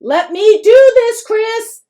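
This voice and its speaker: A woman voicing a furious, exasperated middle-aged mother who yells and scolds. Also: on the high side, expressive, normal speed, close to an everyday voice. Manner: yelling, sharp, scolding.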